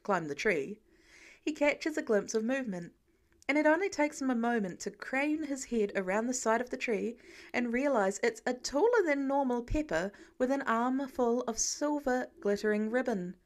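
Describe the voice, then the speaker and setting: A woman's voice: on the high side, animated, normal speed. A woman reading fan fiction aloud as scripted story narration. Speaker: podfic reader.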